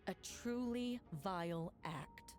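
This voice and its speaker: An actress voices a severe lady prosecutor in a fantasy video game court. Her delivery is formal, assertive and articulate, mid-pitched, with light music behind it. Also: slow, a little mellow, natural voice. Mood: accusing.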